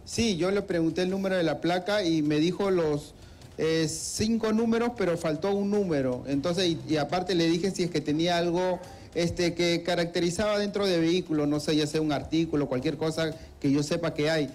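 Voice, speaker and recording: A man's voice: on the high side, moderate pace, a little mellow. A Peruvian man in his thirties, interviewed outdoors on a street into a handheld mic, speaking hesitantly with fillers. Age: 30s. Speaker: crime victim interviewee. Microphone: reporter's handheld mic.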